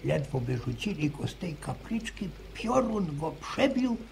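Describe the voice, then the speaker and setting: An actor playing an old village grandfather who tells a slow, pious story in halting rustic speech, in a radio drama. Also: fairly high pitch, big pitch swings, creaky, a little mellow, slight background noise, full character voice. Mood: hushed, ominous. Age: older. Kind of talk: 1960s radio drama acting.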